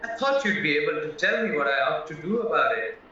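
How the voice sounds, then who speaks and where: A man's voice: on the high side, expressive, a little fast, sharp tone. A man playing a naive, chatty, polite young husband under suspicion in an audio play, earnestly explaining himself. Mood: anxious, earnest. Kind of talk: stage-style audio play acting. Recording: very echoey, distant, muffled, music behind.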